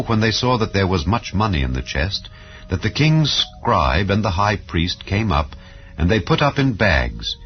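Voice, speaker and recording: Low-voiced guy reading the bible slow and solemn, muffled lo-fi audio, quiet music underneath. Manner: measured, solemn reading with rising and falling phrases. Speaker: Bible reader. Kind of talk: scripted scripture narration. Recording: dull lo-fi sound with soft background music.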